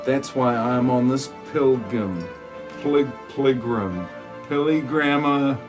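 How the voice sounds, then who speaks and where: A man's voice: mid-range voice, slow, over music, close to an everyday voice. A man playing a solemn, pretentious desert mystic in an amateur parody audio play, speaking in a stilted, ponderous way. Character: solemn, pretentious male desert mystic spouting muddled wisdom.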